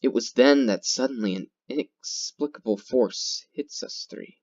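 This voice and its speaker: A man reading horror game text aloud in a theatrical, halting way, repeating words and adding his own comments. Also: mid-range voice. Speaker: gaming video host. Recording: dull lo-fi sound with loud music. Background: loud guitar music.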